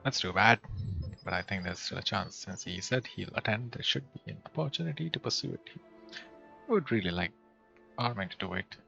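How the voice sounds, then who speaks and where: A man streams a game in a low voice with a gravelly edge. He mutters casually to himself as he plays and reads dialogue aloud. The sound is slightly muffled, with music playing behind him. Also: expressive, fairly quick, sharp tone. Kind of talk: casual gameplay commentary, reading quest dialogue aloud.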